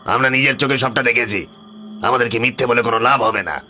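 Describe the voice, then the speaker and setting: An actor playing a stern, wary elder village uncle in a horror cartoon, speaking emphatically. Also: male voice, medium pitch, fast talker, bright, forceful tone, a little hiss or hum. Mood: angry. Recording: background music throughout.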